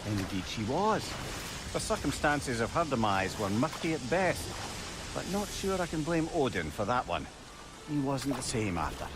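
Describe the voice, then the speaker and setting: An actor playing a talkative, learned old counsellor in a video game, with an eloquent, theatrical way of talking. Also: male voice, medium pitch, very animated, normal speed, over music, natural voice. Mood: wry storytelling. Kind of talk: video game dramatic acting.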